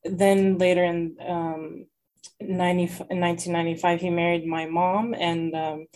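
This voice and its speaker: A woman's voice: fairly low pitch, little pitch movement, normal speed, a little mellow. Hesitant young woman guest. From Lebanon. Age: young adult. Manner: hesitant, many fillers. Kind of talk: casual interview.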